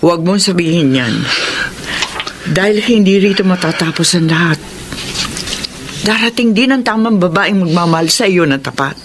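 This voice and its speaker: An actress playing a sad, consoling older mother, gentle and reassuring, in a Filipino radio melodrama. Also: on the low side, expressive, normal speed, pauses often, lightly acted. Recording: noisy background.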